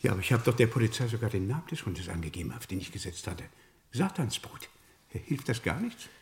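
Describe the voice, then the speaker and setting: A man's voice: fairly low pitch, big pitch swings, a little fast. An actor playing an anxious, polite accused man in a German crime radio play, hesitant and searching for words. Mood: indignant. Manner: hesitant, searching, repeating himself.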